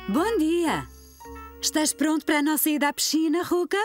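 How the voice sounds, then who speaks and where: Casting: kind female cartoon teacher, high lilting voice, European Portuguese accent, encouraging. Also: expressive, normal speed, music in the background. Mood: cheerful, encouraging. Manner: encouraging, clear instructions. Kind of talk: children's cartoon dub.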